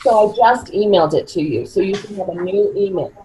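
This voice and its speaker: A woman's voice: medium pitch, a little fast, warm tone, a little hiss or hum, rough recording. A woman directing a remote recording session, giving informal, plain step-by-step instructions in a directive way. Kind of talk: informal spoken instructions on an online call.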